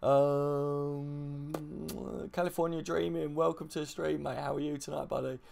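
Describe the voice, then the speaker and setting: A man with a deep voice hosting a casual live stream from home, speaking quietly and in a laid-back way with the mic right in front of his mouth. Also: flat delivery, unhurried, creaky, slight background noise. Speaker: channel host. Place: at home, baby asleep next door.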